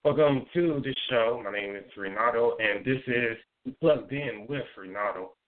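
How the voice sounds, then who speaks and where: Relaxed male talk-radio host, dry and tinny. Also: medium pitch, normal speed, no room sound. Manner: relaxed, conversational questioning. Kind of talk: casual talk radio interview. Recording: dry, tinny phone-like sound.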